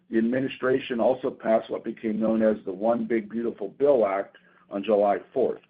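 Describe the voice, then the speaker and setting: Guy reading earnings remarks, kinda low voice, bit husky, formal and measured, sounds like a phone call. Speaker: company executive presenting quarterly results. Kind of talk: prepared remarks read from a script on an earnings call. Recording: thin, tinny phone conference line.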